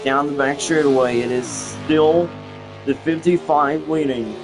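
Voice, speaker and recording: Young guy doing slow racing commentary, bit high voice, keeps repeating himself, music and engines behind. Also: fairly even intonation, rough recording. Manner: halting, repeats phrases, restarts. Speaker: race commentator. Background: music and engine noise.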